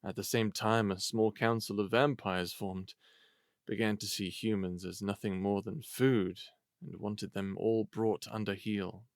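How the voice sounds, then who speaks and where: Low-voiced, wry human witness. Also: male voice, flat delivery, dry recording, studio quality. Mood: grave recounting. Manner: measured, wry, explanatory. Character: wry, unflappable human man with ancient memories. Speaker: actor. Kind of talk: audio drama acting.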